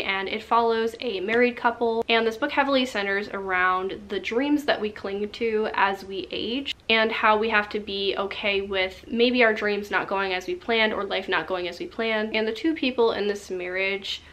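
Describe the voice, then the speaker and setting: A woman's voice: mid-range voice, a bit bright. Slightly nasal woman chatting about books. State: mild head cold. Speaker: book reviewer and channel host. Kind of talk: casual scripted-feeling book haul vlog chat.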